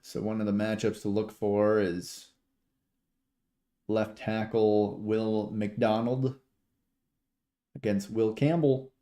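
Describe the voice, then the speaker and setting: Mellow American man commentating football casually. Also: fairly low pitch, little pitch movement, slow, long pauses. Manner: rambling, informal, sweary, talks sports betting slang. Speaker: amateur livestream football play-by-play commentator. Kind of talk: casual play-by-play commentary mixed with chat.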